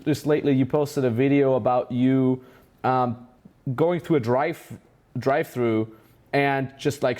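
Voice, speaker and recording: A man's voice: mid-range voice, a little mellow. A man with a German accent hosting a casual interview as a business mentor, enthusiastic and full of praise. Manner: enthusiastic, praising, many restarts.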